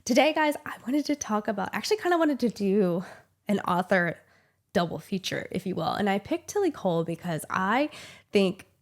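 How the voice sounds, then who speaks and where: A woman's voice: mid-range voice, animated, normal speed. Gushy lady in her 30s with a cold, rambling about books, lots of "like". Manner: chatty and gushing, many "like" fillers. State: small head cold, voice a little off. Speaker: book channel host. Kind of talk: casual book review chat.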